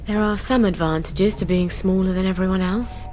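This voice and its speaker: A woman's voice: medium pitch, fairly even intonation, normal speed, over music, close to an everyday voice. An actress playing a wry, self-assured and daring young British woman in a video game, thinking aloud. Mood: wry scheming. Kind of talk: video game cutscene acting. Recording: clear background noise.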